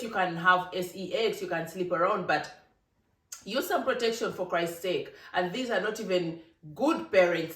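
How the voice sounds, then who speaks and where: A vlogger from Africa, giving an emphatic, animated opinion monologue with laughing asides. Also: mid-range voice. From East Africa. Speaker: vlogger, channel host. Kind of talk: casual opinion monologue to camera. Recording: roomy, distant from the mic.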